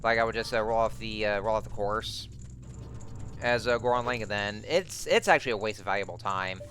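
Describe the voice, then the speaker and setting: A man's voice: fairly low pitch, brisk, fairly bright tone, slight background noise. A young man giving casual gameplay commentary, rambling with lots of fillers and restarts. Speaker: let's play commentator. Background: game music.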